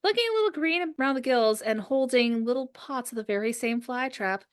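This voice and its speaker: Female narrator, higher-pitched voice, reading stage directions for a podcast table read. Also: lively intonation, fairly quick, clean tone. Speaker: host and narrator. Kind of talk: reading a script's stage directions aloud among friends.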